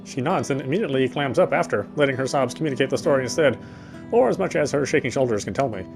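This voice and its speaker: A man reading first-person visual novel narration quickly, with frequent slips and corrections. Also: medium pitch, lively intonation, vocal fry, music in the background.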